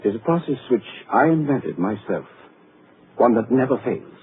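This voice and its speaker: An actor playing a sinister mad doctor, mock-polite and gloating, in 1940s radio acting. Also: male voice, mid-range voice, sing-song, moderate pace, close to an everyday voice. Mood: silkily polite. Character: sinister mad male doctor, smooth and menacing. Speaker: villain. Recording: tinny, hissy 1940s radio broadcast.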